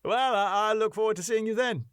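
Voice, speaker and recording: A man playing an excitable, flamboyant married ballroom club owner in a sitcom script reading. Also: high voice, a little fast, clear, resonant tone, no room sound, studio quality, voice pushed up. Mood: eager, deferential. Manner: flamboyant, exclamatory.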